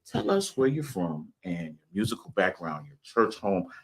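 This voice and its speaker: A man's voice: sits low, very animated, normal speed, breathy edge. A man hosting a casual gospel music interview, folksy and emphatic, often repeating his phrases. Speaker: talk show host.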